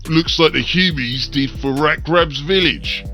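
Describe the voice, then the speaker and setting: A man voicing a grim, rough-talking ork warlord in an audiobook story. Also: a little high, unhurried, bright, forceful tone, faint music behind, pitched above the performer's own voice. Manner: rough and slangy. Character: muttering, grim ork warlord with a long jaw.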